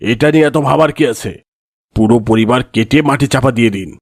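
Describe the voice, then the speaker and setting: A man's voice: medium pitch, moderate pace, dry recording, studio quality, close to an everyday voice. A man playing a greedy, ruthless timber merchant in a cartoon, commanding and callous. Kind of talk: cartoon voice acting.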